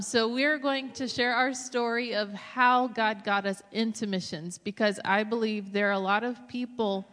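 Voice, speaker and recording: A woman in her thirties giving a personal testimony as a missionary guest speaker to a church congregation. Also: medium pitch. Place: large church hall, over a PA. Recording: echoey hall, long reverb.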